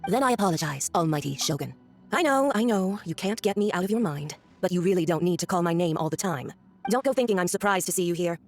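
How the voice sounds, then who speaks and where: Haughty, quick-talking villainess. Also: female voice, lowish voice, lively intonation, soft background music. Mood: mock polite. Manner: condescending, theatrical, sneering. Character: haughty, mocking villainous woman diplomat with lethal power. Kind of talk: video game voice acting.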